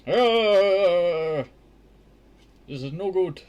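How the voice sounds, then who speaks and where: A man putting on the voice of an angry, shrill mother scolding her son in a storybook. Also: big pitch swings, normal speed, a little mellow, dull audio.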